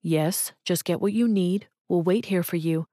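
Gentle, kind businessman, read by a woman in her low voice; calm, measured. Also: no echo, studio quality.

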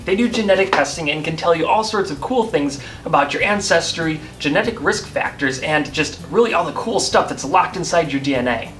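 A man's voice: on the high side, fairly bright tone, slight background noise. A man in his twenties with an American accent, hosting a science video in a casual, conversational way to camera. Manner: informal, conversational. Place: open-fronted garage workshop.

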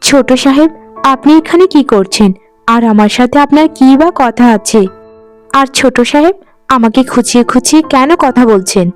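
A woman's voice: high voice, normal speed, close to an everyday voice. A woman playing a dutiful young housemaid in a cartoon, polite and earnest in the way she talks. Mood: wary. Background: faint music.

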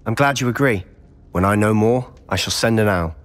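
A man's voice: on the low side, moderate pace, lightly acted. A young man with a British accent playing a defiant, secretive teenage wizard in a video game, earnest and insistent. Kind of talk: video game cutscene acting.

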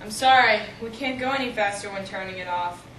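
A young teenage girl playing an anxious, halting reactor engineer in an amateur school drama. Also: medium pitch, a bit bright, close to an everyday voice. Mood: cautious, resigned. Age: young teen. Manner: halting, stammering. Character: anxious reactor engineer pushing through a risky procedure. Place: small office. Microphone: far camera. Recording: roomy, distant camera mic, faint noise.

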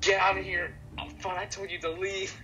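A man's voice: sits high, flat delivery, moderate pace, bright, forceful tone, soft background music. A young adult man in an improvised Minecraft roleplay, talking in short, often repeated phrases. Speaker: gaming video co-player.

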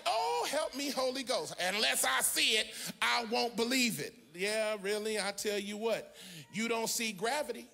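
A middle-aged American man preaching an animated, emphatic sermon as a pastor, theatrical, into a handheld microphone in a large church auditorium. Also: very high-pitched, unhurried, gravelly, soft background music. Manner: emphatic, theatrical, often shouting and half-singing.

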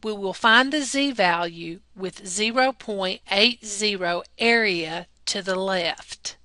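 Patient female math tutor, slow and deliberate, bright tone, dry close voice-over. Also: mid-range voice, no echo. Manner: patient, step-by-step teacherly delivery. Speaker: math lab technician narrating a lesson. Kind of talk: slow, deliberate classroom explanation over slides.

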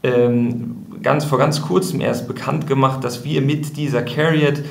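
Gravelly man in an echoey room. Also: medium pitch, fairly even intonation, unhurried. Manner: thoughtful, many fillers and restarts. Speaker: co-founder, interview guest. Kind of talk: podcast interview, explaining. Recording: roomy echo.

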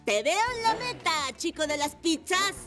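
Cool confident cartoon boy bike, really high kiddy voice, easygoing show-off. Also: very animated, moderate pace, music in the background. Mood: breezy. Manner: breezy, self-assured. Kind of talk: animated children's cartoon dub.

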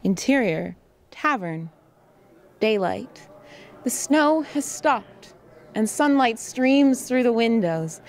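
Woman narrating drama over music. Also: on the high side. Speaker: host and narrator.

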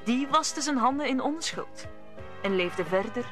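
A woman's voice: high-pitched, expressive, unhurried, over loud music. A woman narrator telling a scripted story in a radio play.

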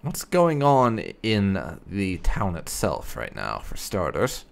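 A man's voice: deep voice, wildly swooping pitch, takes it slowly, creaky. A man hosting a gaming video, commenting casually with light, sardonic roleplay.

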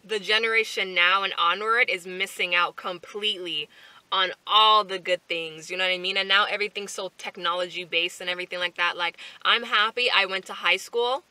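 A woman in her twenties chatting casually to camera from a parked car, animated and full of fillers and asides. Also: medium pitch, brisk, no echo, rough recording. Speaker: vlogger. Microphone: camera on the dashboard.

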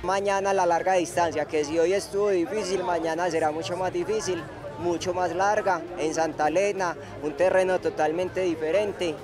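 A man's voice: high voice, fairly even intonation, moderate pace, music in the background, crowd noise. A man speaking in an informative, encouraging tone as an event official. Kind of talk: interview.

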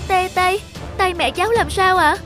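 A woman's voice: very high voice, normal speed, over music. A woman voicing an emotional, pleading young girl in a cartoon drama. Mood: worried, remorseful. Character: distressed, bitter young girl who lost her leg.

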